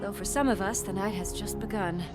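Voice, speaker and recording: A woman playing a calm reassuring policewoman. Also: medium pitch, brisk, loud music behind the voice, lightly acted. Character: calm female police officer. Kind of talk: video game cutscene acting.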